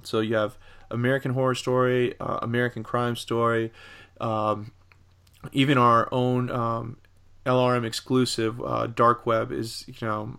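A man co-hosting a casual podcast discussion about entertainment, talking in a halting, rambling way. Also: mid-range voice, creaky, a bit bright. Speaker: podcast co-host.

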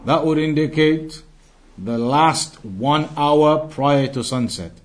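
Man teaching an Islamic lesson, slightly high-pitched. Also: slow. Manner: didactic, repeats and rephrases key phrases for emphasis. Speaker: religious teacher. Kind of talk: explanatory Islamic lesson, translating quoted Arabic into English.

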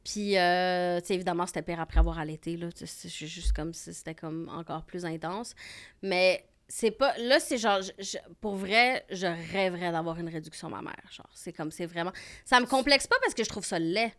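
A woman's voice: fairly low pitch, normal speed. A woman in her thirties, born in Morocco with Arabic as her first language, speaking Quebec French and telling candid stories with a lot of "comme" in a casual chat. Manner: candid, animated storytelling with frequent "comme" and laughter. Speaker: podcast guest.

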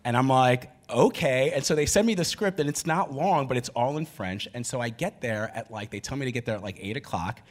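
A man moderating a panel before a live audience, telling lively, chatty anecdotes. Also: medium pitch, fairly quick, few pauses. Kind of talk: casual panel talk.

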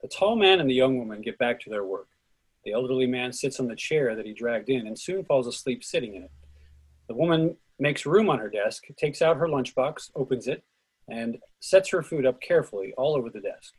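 A man's voice: mid-range voice, lively intonation, brisk, slightly rough. A man reading a play's stage directions as scripted narration.

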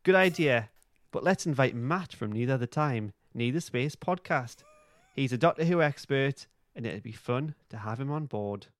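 A man's voice: medium pitch, animated, moderate pace, dry recording. A man hosting a podcast, playfully reading a comic script aloud and breaking off to comment. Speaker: podcast host.

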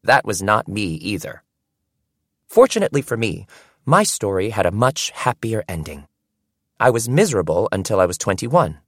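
A man reading a first-person audiobook in an expressive, storytelling way. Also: lowish voice, normal speed, no room sound, studio quality. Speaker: audiobook narrator.

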